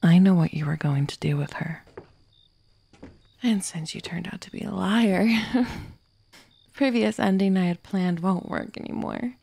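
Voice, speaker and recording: Woman acting slow, creaky-voiced menacing obsessive killer. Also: fairly low pitch, warm tone, exaggerated voice. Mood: bitter, scornful. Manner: sardonic, menacing, breathy whispers and sighs. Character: obsessive, vengeful young woman, murderous mystery writer. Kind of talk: ASMR roleplay, dramatic monologue.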